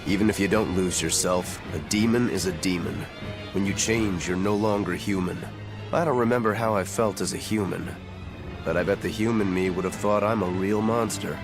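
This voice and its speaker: Detached demon warrior; low, calm, blunt game delivery with prominent music. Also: male voice, normal speed. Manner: calm, blunt, matter-of-fact. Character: cool, blunt demon swordsman, detached and matter-of-fact. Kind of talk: English-dubbed video game cutscene acting.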